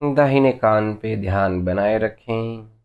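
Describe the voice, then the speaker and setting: A man leading a guided meditation as a teacher, giving gentle, unhurried instructions in short phrases with long pauses between them. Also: fairly low pitch, clean tone, phone-quality sound.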